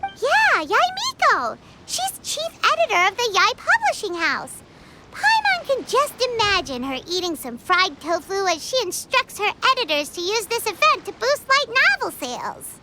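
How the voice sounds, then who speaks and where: A woman voicing a small, excitable fairy companion in a video game, with a squeaky childlike voice and chatty delivery. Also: normal speed, very noisy, heavily acted. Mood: wry, chatty. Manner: chatty, exclamatory, refers to herself by name. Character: small excitable chirpy fairy companion, talks in third person. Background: game music.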